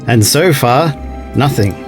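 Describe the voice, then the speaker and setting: A man's voice: medium pitch, animated, takes it slowly, over music. A man playing a troubled, dutiful space-fleet officer in a sci-fi audio drama, earnest and respectful but doubting. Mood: tense, skeptical.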